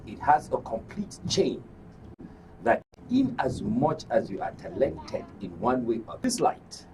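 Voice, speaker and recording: A man's voice: mid-range voice, darkish tone, distant mic, a little hiss or hum, rough recording. A man giving a formal answer as a candidate guest in an interview. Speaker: guest, guild election candidate.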